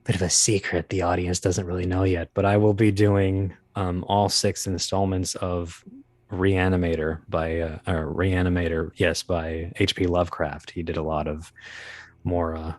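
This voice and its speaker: A man speaking casually as an interview guest, talking about his creative work in a halting, think-aloud way. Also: sits low, little pitch movement, a little mellow, no echo. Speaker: guest, audio drama producer and voice actor.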